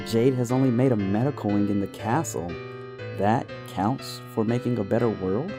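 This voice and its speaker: A man as a low-voiced, proud siren father. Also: moderate pace, lightly acted. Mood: dry, challenging. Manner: terse, dry. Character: aloof, proud siren father, guarded and dry. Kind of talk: audio drama acting. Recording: voice under plucked guitar music.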